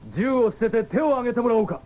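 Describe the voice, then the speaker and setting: An actor playing a brash, cocky cyborg fighter in a radio drama. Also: male voice, extremely high pitch, lively intonation, fast, a little hiss or hum. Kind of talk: 1970s radio drama acting. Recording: dull 1970s radio recording.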